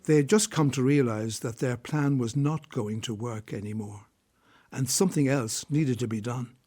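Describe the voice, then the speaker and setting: Mellow, husky male story narrator. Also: mid-range voice, moderate pace.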